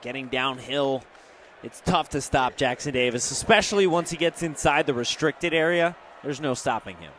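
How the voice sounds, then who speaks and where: A man's voice: mid-range voice, lively intonation, moderate pace, fairly bright tone. An American man giving live basketball analysis as a color commentator. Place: courtside in a large indoor arena. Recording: faint arena noise.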